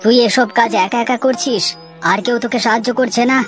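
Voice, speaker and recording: A woman's voice: a little high, fairly even intonation, a little fast, soft background music, natural voice. A woman voicing a protective village brother in a cartoon, concerned and reproachful. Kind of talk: cartoon story acting.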